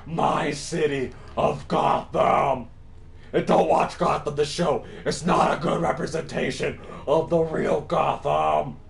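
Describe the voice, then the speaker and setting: A man voicing a boastful, crude, dim-witted parody Batman in comic game commentary, with a forced gravelly voice that breaks into shrill yells. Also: fairly even intonation, slow, full character voice. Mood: menacing bravado. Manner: bragging, sweary, childish, theatrical. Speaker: gaming video performer in character. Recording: roomy echo with light background noise.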